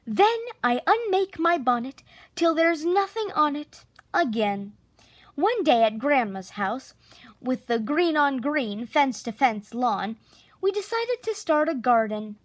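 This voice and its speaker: A woman narrating a children's picture book expressively, with theatrical, drawn-out emphasis on key words. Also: high voice, very animated, no echo. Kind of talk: expressive children's storybook read-aloud.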